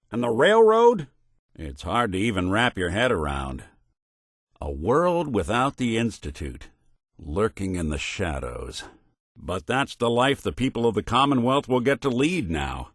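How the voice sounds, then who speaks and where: A world-weary robot gumshoe for a video game, in a lowish voice recorded close and dry. His delivery swings up and down expressively. He talks in wry, old-fashioned private-eye slang, folksy and loyal. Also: no room sound. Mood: grave, reproachful. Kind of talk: video game companion voice lines.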